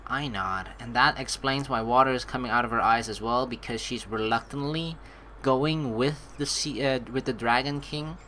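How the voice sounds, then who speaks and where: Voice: mid-range voice, moderate pace, slight background noise. A game streamer reading story dialogue aloud and throwing in joking, sarcastic reactions. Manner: animated, joking, sarcastic asides. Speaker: let's play streamer. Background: game music.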